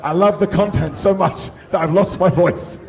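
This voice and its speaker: A British man hosting a live wrestling review show, jokey and loud, hyping the audience, and a bit hoarse from losing his voice. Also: high voice, normal speed. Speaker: host. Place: large hotel event venue. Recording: in front of a noisy live crowd.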